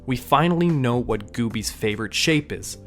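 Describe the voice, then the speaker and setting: A man's voice: medium pitch, expressive, moderate pace. A man hosting an online video, giving scripted breakdown narration with casual touches. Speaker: YouTube host and narrator. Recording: voice-over with music underneath. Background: music.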